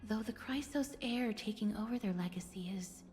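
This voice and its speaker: An actress voicing a solemn, soft-spoken female figure in a fantasy video game, speaking formally and breathily. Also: medium pitch, normal speed, soft background music, lightly acted. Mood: solemn, explanatory.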